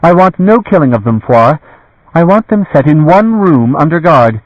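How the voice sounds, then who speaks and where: Man voicing a stern warrior-lady, cold and clipped, raised pitch. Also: very animated, normal speed, no room sound. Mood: commanding. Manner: still, clear, imperious. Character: cold commanding tall woman warrior-lady. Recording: dull lo-fi audio.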